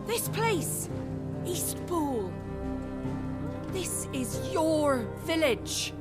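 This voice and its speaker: An actress playing a fierce, earnest young woman rallying villagers with deliberate, impassioned delivery. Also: high-pitched, very animated, exaggerated voice. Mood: impassioned, urging. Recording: loud music under the voice.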